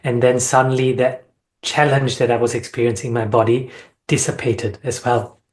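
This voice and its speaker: Even-toned, earnest man in reflective conversation. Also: mid-range voice, normal speed. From Austria. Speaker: sound healing facilitator and interview guest. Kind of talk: reflective interview talk about personal experience.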